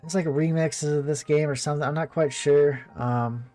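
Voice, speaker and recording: Guy doing chill let's play commentary, highish voice, rambly and hesitant, bit of music in the back. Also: fairly even intonation, moderate pace, dark tone. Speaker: gaming video host.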